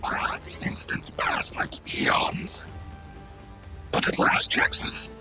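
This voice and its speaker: Piercing, robotic scheming villain. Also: male voice, bright, forceful tone, soft background music. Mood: sinister, impatient. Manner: grandiose, measured monologuing. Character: scheming robot scientist villain, cold and grandiose. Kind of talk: scripted fan audio drama.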